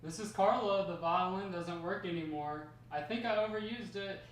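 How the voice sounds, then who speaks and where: A man's voice: high voice, moderate pace, close to an everyday voice. A man in a table read playing a young, candid, bored grandson. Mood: plain, affectionate. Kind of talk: amateur screenplay table read. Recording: echoey room, distant mic, faint noise.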